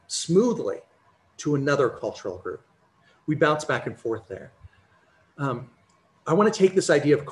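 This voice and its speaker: A middle-aged American man giving a measured, explanatory academic lecture over a video call from a home office, using personal examples. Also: medium pitch, a little hiss or hum. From United States. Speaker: conference lecturer. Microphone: video call, computer mic.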